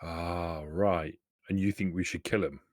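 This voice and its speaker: A man's voice: sits low, steady tone, a little slow, no echo, studio quality. A man chatting bluntly and grumbling a little as a player in a tabletop roleplaying game. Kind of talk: casual in-character game talk.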